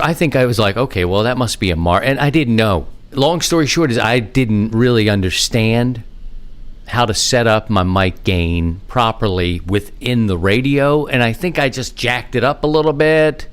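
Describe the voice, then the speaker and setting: A man hosting a podcast, talking casually and informally in a rambling, self-deprecating monologue with animated asides. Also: mid-range voice. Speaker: podcast host. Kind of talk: casual rambling hobby monologue on amateur radio.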